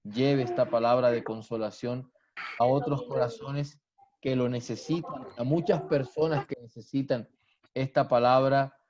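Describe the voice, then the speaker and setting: A man's voice: medium pitch, steady tone, unhurried, crowd noise. Pastor guy, fervent, keeps repeating blessings, mid voice, muffled stream audio. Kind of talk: preaching and greeting viewers online. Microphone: video call.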